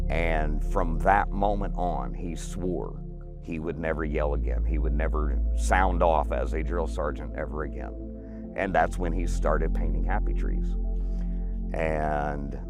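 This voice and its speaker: Deep-voiced American man, plain-spoken. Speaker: Army veteran and former drill sergeant telling his story. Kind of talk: informal personal storytelling.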